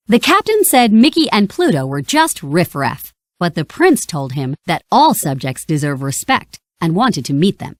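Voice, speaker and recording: Animated woman narrating a children's storybook. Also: lowish voice, normal speed, hardly any pauses, no echo, studio quality. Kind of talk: scripted children's read-along narration.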